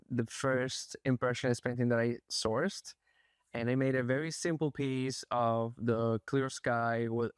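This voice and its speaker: A young man from Spain, an artist being interviewed, speaking in a soft, thoughtful way with many pauses and fillers. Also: medium pitch, smooth, dry recording, clean studio sound. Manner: soft-spoken, thoughtful, hesitant, frequent fillers. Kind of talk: casual interview. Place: art gallery.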